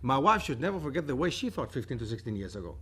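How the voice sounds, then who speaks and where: A man in his forties from Germany gives a university lecture and takes questions from the audience. He talks in a lively way, gestures with both hands, and slips into stories as he speaks into a table mic in a lecture room. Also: mid-range voice, quick pace, slight background noise. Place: lecture room with projection screen. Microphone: table mic on a stand.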